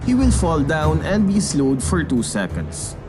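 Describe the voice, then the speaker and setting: Man narrating game updates, lively higher pitch. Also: measured pace, music in the background. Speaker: narrator of game patch notes. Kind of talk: scripted explainer narration.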